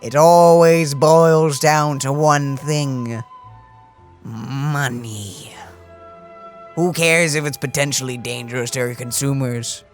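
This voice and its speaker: A sarcastic streamer with a medium-pitched voice, reacting casually to a game in dry asides. Game music plays in the background. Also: fairly even intonation, slow pace. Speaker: gaming streamer.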